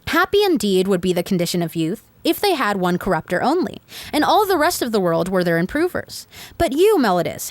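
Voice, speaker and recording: A woman's voice: medium pitch, no room sound, close to an everyday voice. A woman voicing an elderly male philosopher who argues his own defense in court, pressing his accuser with pointed rhetorical questions. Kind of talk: reading a dialogue aloud.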